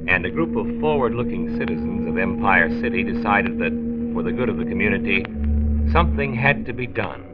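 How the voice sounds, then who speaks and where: An actor playing an authoritative county doctor who hosts and narrates a 1940s radio drama, formal and deliberate on an old recording. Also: male voice, on the low side, lo-fi sound. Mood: solemn, announcing.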